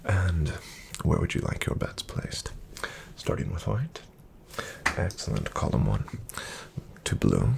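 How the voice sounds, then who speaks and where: Guy playing a polite casino dealer asmr, deep soft near-whisper, lilting up and down, composed and formal. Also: big pitch swings, gravelly, slight background noise, exaggerated voice. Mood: soft, courteous. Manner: formal, polite, measured phrasing. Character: courteous, composed male casino card dealer. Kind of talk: soft-spoken ASMR roleplay.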